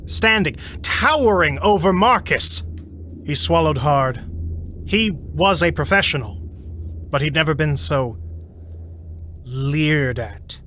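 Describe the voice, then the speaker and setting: A man narrating a scripted sci-fi audio drama in a measured, suspenseful, slightly wry storytelling manner. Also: on the high side, expressive, sharp tone, slight background noise.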